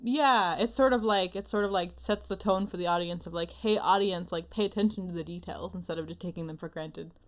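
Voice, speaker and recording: A woman's voice: fairly low pitch, a little fast, hardly any pauses. A woman chatting casually as a panelist, musing tentatively and using lots of filler words. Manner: musing, tentative, heavy use of "like". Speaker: panelist on an anime discussion show. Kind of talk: casual chat.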